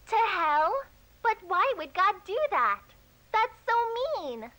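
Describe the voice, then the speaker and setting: An innocent little girl puppet in a mock children's show. The voice is high and very animated, with slow, childlike phrasing and a wide-eyed, inquisitive manner. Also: exaggerated voice. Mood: shocked, dismayed.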